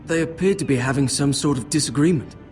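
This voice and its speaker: Guy acting a timid polite servant boy, fantasy game, lightish high voice, music under it. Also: fast talker, a little mellow, close to an everyday voice. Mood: confiding, cautious. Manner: deferential, hesitant. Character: humble, polite young male servant boy in medieval fantasy. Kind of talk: video game drama acting.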